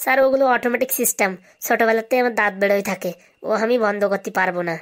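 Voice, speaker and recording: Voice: mid-range voice, rapid-fire, fairly bright tone, natural voice. A performer voicing a brazen, glib schoolboy in a comedy cartoon. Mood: polite, eager. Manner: glib, answers back with absurd confidence. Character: cheeky, brazen schoolboy with buck teeth.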